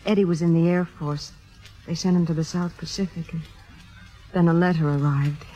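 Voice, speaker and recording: A woman's voice: fairly low pitch, fairly even intonation, moderate pace, warm tone, close to an everyday voice. Actress doing a humble wistful young american woman, dull old radio sound, faint music, kinda hesitant. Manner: hesitant, self-effacing, wistful. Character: humble, earnest, soft-spoken young female theater devotee. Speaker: radio actor. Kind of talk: 1950s radio drama acting. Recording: muffled 1950s radio recording, music behind.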